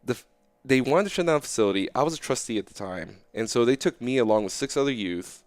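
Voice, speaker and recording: A young man talking casually as an interview guest, animated and loose, often checking in with "right?". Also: medium pitch, fast talker, no echo.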